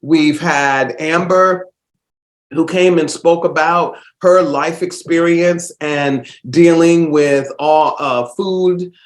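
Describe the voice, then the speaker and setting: A man's voice: fairly high pitch, fairly even intonation, slow pace, echoey room. A man hosting a casual solo podcast while stuffed up with a cold, rambling with fillers and restarts. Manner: rambling, warm-hearted, many fillers and restarts. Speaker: host.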